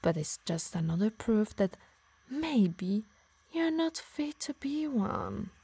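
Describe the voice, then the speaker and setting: A woman with an Italian accent playing a possessive, obsessed harpy in an ASMR roleplay, soft and breathy, slipping into whispers, both tender and menacing. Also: fairly high pitch, sing-song, slow pace, no echo, exaggerated voice. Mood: smug, menacing. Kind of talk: intimate ASMR roleplay acting.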